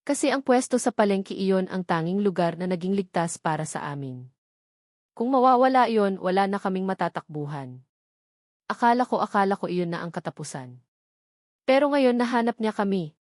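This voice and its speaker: A woman's voice: medium pitch, animated, normal speed, a little mellow, no room sound, clean studio sound, lightly acted. A woman voicing a frightened, protective young woman in a dramatic story reading. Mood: anguished, fearful.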